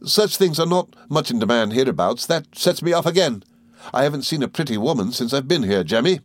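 A man reading a story aloud, voicing a tiny, sly woman who chatters rapidly and giggles. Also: mid-range voice, expressive, no room sound, voice pushed up, lightly acted. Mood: teasing.

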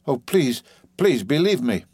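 A man voicing a desperate, ashamed young wife in an audiobook, pleading and halting as the words come out. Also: medium pitch, darkish tone, no room sound, clean studio sound, lightly acted. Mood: wailing, pleading. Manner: wailing, halting, gasping, repeating pleas. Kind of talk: dramatic audiobook character reading.

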